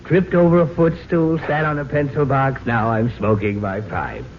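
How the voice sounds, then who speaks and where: A man's voice: medium pitch, lively intonation, moderate pace, natural voice. An actor playing a polite, wistful middle-aged married man in an old radio sitcom, speaking in an earnest, theatrical way. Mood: delighted. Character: henpecked married visitor, wistful, polite, delighted by mess. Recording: dull, noisy old radio recording.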